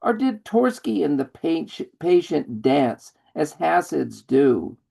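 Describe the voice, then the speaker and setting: A man reading his poem at an online open mic, slow and deliberate, speaking over a video call. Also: fairly high pitch, sing-song, gravelly, warm tone. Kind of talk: poetry reading.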